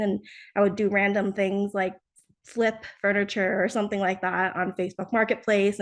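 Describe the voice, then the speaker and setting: A young woman guest talks through her background in a relaxed, rambling way. She uses plenty of fillers and laughs softly between thoughts. Her voice sits at a middle pitch for a woman. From New Jersey, United States. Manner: chatty, many fillers and soft laughs. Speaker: guest, startup founder. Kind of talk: casual interview answers.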